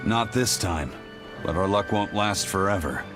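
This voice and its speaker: Tough first mate, fantasy game: deep bass register, terse, unruffled. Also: male voice, lively intonation, a little slow, over music. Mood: dry, calm. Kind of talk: English-dubbed fantasy game acting.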